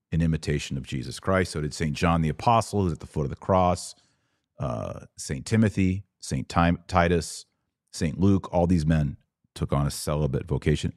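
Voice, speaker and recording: A man's voice: extremely low pitch, a little mellow, no echo, clean studio sound. A middle-aged man hosting a podcast, delivering religious commentary in an emphatic, preachy yet conversational way. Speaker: podcast host.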